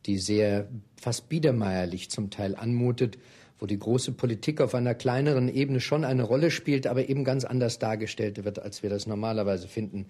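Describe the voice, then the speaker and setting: A man interviewing in a formal, courteous manner, putting long, prepared questions in measured sentences. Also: medium pitch, steady tone, dark tone, breathy edge.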